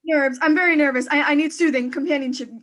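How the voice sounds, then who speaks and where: A nervous, demanding invalid wife in a 1940s-style radio thriller. Her voice is extremely high. She sounds imperious and fretful, always on the edge of breathless panic. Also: steady tone, fast talker, a bit bright. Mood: agitated demanding. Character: anxious, demanding invalid wife terrified alone at home.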